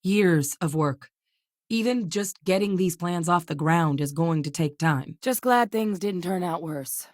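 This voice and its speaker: An actress voicing a composed female scientist and military officer in a video game, speaking in a measured, earnest and direct way. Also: fairly low pitch, lively intonation, no room sound, studio quality. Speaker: game character voice. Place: recording studio. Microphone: close studio mic.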